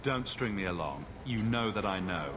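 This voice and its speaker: Low-voiced, blunt male hero. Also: animated, moderate pace, fairly bright tone. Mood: firm, confronting. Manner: direct, challenging. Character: blunt, defiant heroic male adventurer. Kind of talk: video game voice acting.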